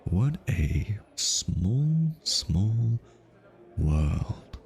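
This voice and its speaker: A man plays a hitman undercover among high society in an ASMR roleplay. His voice is low and he takes his time over his words. He is pretentious and archly courteous, teasing with polished politeness while being easily flustered underneath. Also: very animated, darkish tone, exaggerated voice. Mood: stunned disbelief. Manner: arch, teasing, theatrically polite with halting hesitations. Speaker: voice actor.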